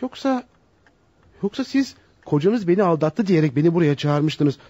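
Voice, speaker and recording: An actor playing a flustered, indignant psychologist trapped by blackmail, stammering out protests in a radio theater style. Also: male voice, fairly high pitch, lively intonation, normal speed, warm tone, dry recording, highs cut off. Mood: alarmed indignation. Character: panicked, outraged male psychologist caught in blackmail trap. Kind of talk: Turkish radio theater acting.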